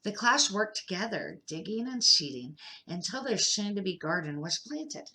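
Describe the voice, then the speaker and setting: An older woman, a grandmother, reading a children's picture book aloud in a measured, careful amateur style with short phrases. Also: medium pitch, very animated, pushed and bright, rough recording.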